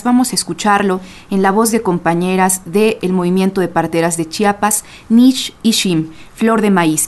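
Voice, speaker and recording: A woman hosting a radio show, reading announcements in a clear, measured way. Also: medium pitch, darkish tone. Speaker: radio host.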